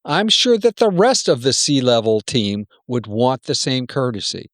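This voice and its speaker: A man's voice: mid-range voice, animated, a little slow, a bit bright, no room sound, studio quality. A man playing a wary, self-protective senior executive in an amateur radio play, talking in a blunt, cautioning, persuasive way. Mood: guarded, advising.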